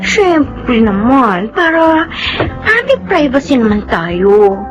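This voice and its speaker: An actress playing a brazen, demanding pregnant waitress and mistress in a Tagalog radio drama, assertive and unapologetic. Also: female voice, a little high, very animated, slow pace, muffled recording, music in the background. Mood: demanding, coaxing.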